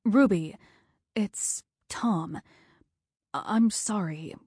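A woman voicing an awkward male colleague who speaks in a halting, subdued, apologetic way. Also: mid-range voice, darkish tone, husky, no room sound, studio quality.

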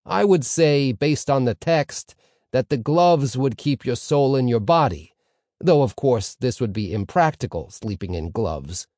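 A man voicing a pompous older rabbi teacher in an audiobook story, declaiming with dramatic pauses and rising questions. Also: medium pitch, lively intonation, darkish tone, no echo, clean studio sound. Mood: pondering.

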